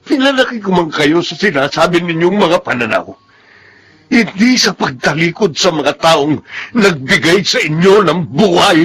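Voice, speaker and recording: A man's voice: on the high side, expressive, moderate pace, a bit bright. An actor playing a stern, hurt, ailing father in a Tagalog radio drama, speaking in formal, reproachful phrases. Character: aggrieved, stern ailing father of grown children.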